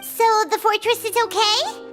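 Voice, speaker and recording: Casting: bubbly childlike game mascot, squeaky, very high and swooping, with prominent music underneath. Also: female voice, animated, normal speed. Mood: curious. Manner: speaks of self in third person, bubbly, squeaky. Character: small excitable childlike fairy companion, cheerful, food-loving. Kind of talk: video game character acting. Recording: music behind the voice.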